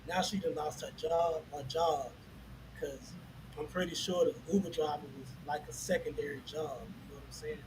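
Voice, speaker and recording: A man co-hosting a casual podcast, reasoning out his views in a measured way. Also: on the high side, fairly even intonation, noisy background. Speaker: podcast co-host. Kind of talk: casual chat.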